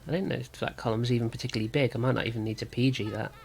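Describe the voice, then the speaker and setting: A man with a sore throat and slightly rough voice, thinking aloud as he gives casual commentary on a card game. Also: mid-range voice, level tone, racing. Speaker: gaming video host.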